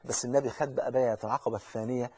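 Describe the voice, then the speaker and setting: A man's voice: medium pitch, fairly even intonation, racing, warm tone, husky. A man with an Egyptian accent preaching a religious lesson, fervent and urgent, speaking straight to the listener and often repeating or restarting phrases. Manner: frequent repetitions and restarts.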